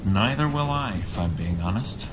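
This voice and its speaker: Low-voiced, resolute hero played by a man. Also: lively intonation, moderate pace, music in the background, lightly acted. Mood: calm, resolute. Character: calm, resolute male hero leading allies. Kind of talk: pulp radio drama acting.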